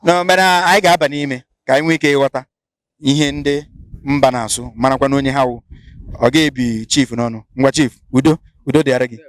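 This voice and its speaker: A Nigerian man with an Igbo accent, hosting a field interview and talking in a lively way, mixing Igbo and Pidgin. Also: medium pitch, fairly quick, no room sound, studio quality. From Imo State, Nigeria. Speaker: interviewer and show host.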